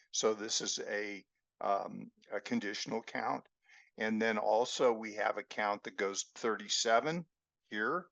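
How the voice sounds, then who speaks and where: A man talks through stock charts in a slow, measured way, his low voice gravelly and a little hoarse. The sound is dry and dull, like a home desk mic on a screen recording. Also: a bit bright, no room sound. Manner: slow, measured explaining with fillers. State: losing his voice. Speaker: market analyst host. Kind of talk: screen-share chart lesson.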